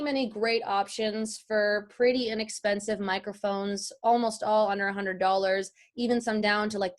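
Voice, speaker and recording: A woman teaching an informal online class as a guest voice-over artist, chatty and explanatory, speaking directly to the group. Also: medium pitch.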